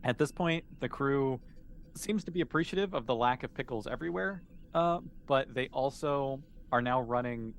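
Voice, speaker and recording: A man acting as game master, improvising narration in a halting way as he thinks aloud. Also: mid-range voice, a little hiss or hum.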